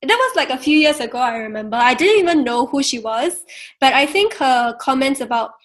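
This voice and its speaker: A woman's voice: high-pitched, lively intonation, a little fast, a bit bright. A woman, asking friendly, rambling questions as an interviewer. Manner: friendly, rambling questions with "you know" and "right".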